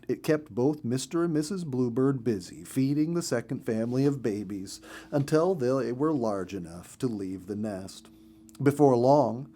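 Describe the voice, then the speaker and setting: Expressive man narrating a children's story. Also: medium pitch, slight background noise.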